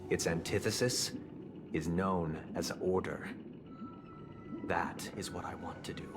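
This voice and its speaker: An actor playing a composed, idealistic visionary who preaches order in a measured, grandiose, condescending way for a video game. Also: male voice, lowish voice, close to an everyday voice. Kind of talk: dramatic game voice acting, philosophical monologue. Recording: studio voice under background music. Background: game music.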